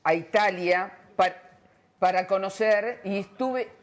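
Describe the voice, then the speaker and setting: A woman's voice: medium pitch, takes it slowly. Argentine woman interviewer, hesitant delivery. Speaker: panel interviewer. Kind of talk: conversational interviewing.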